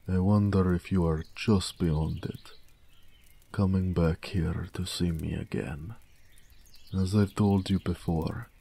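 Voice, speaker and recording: A big, humble orc blacksmith, acted by a man for an intimate audio roleplay. His voice is low and dark, with a soft, relaxed tone. He talks very slowly and haltingly, tender and earnest throughout. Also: vocal fry, husky, heavily acted. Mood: tender, devoted.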